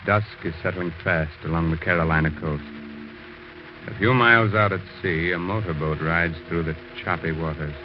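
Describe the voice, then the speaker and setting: An actor playing a mischievous, macabre host of a 1940s radio horror show, with a tongue-in-cheek, hesitating delivery. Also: male voice, sits low, normal speed, noisy background, close to an everyday voice. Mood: ominous narration. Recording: muffled 1940s radio recording.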